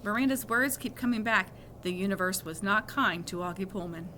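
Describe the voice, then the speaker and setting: A woman's voice: mid-range voice, a little fast, sharp tone, a little hiss or hum. A woman reading a children's novel aloud expressively, now and then correcting a small slip. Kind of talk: read-aloud storytelling.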